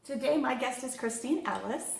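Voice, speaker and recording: A woman's voice: sits high, normal speed, creaky, a little hiss or hum. A woman hosting an interview show, chatty and upbeat, laughing easily with her guest. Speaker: host. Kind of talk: casual interview talk. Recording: roomy sound from a distance, faint ambience.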